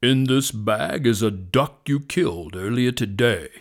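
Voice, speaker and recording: A man voicing a mighty lion, king of the forest, with a deep, powerful, booming voice and solemn authority. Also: expressive. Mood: grave. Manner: solemn, slow, authoritative proclamations.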